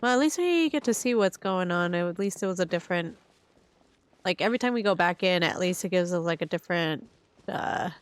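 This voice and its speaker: A woman's voice: on the low side, animated, brisk, smooth. A woman game streamer giving casual live commentary on a playthrough.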